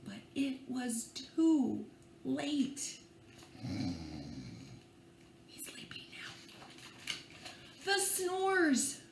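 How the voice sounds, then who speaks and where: A woman reading a picture book to young children in an expressive, playful and theatrical way, drawing out words and adding hushed asides. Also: high-pitched, extremely slow, vocal fry, pauses often. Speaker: librarian reading at children's storytime. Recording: echoey room with faint background noise.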